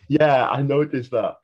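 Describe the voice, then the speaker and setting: A man's voice: medium pitch, breathy edge. A man with a British accent hosting a casual podcast interview, chatty and enthusiastic. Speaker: podcast host. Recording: dull, lo-fi sound.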